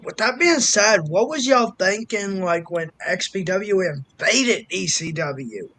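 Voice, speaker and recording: Excitable high-voiced young man. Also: slow. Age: 20s. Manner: excitable, swears freely. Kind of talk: casual chat.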